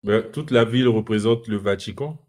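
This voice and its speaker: A man from the Republic of the Congo, a guest in a live online debate, who answers calmly and with some hesitation. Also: fairly low pitch, moderate pace.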